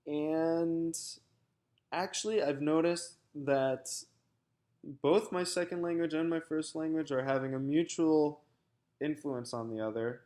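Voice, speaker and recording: A young American man, a graduate student, giving a recorded personal statement in a halting, thoughtful way with many fillers and restarts. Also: fairly high pitch, mellow. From United States.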